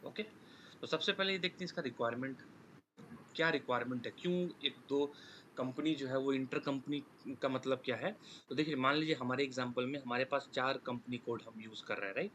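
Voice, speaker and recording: A man's voice: mid-range voice, fairly quick, a bit bright, a little hiss or hum. A man with an Indian accent teaching a live online class, rephrasing his points, checking understanding with short questions and mixing in English technical terms. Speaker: online course instructor. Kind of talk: live lesson explaining with examples. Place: online class with screen sharing.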